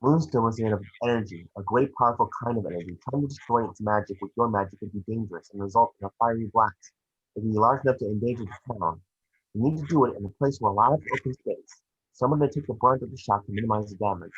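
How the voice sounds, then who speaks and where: A man reading the part of a gentle, remorseful witch ghost mentor in an amateur table read, earnest and measured. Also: lowish voice, breathy edge, lo-fi sound. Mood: advising.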